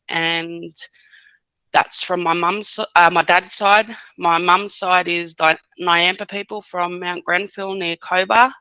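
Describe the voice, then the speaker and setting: A woman's voice: fairly low pitch, bright, forceful tone, clean tone, dry recording, poor audio. A woman in her thirties, a podcast guest giving short, hesitant answers with many fillers. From Australia. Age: 30s. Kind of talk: casual podcast interview.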